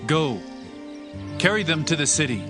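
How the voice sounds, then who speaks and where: A man's voice: fairly high pitch, very animated, moderate pace, over music. A man playing an earnest, impassioned young prince in a dramatized scripture reading, declaiming urgently in archaic English.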